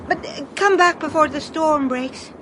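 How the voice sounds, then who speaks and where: A woman's voice: sits high, normal speed, close to an everyday voice. A woman playing a spirited, chatty young girl in a radio drama, colloquial and well-spoken. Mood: weary, uneasy. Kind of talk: British radio drama acting. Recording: clear outdoor ambience noise.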